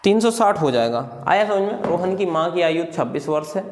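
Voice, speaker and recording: A man's voice: a little high, expressive, moderate pace. A man teaching step by step in an informal way, often repeating phrases and posing questions that he answers himself. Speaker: maths teacher. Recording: echoey room.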